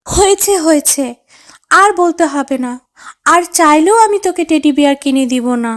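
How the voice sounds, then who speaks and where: A woman's voice: high-pitched, moderate pace, fairly bright tone. A woman voicing a stern but caring mother fox in a children's cartoon, fretful and reproachful. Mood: scolding. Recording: faint music behind.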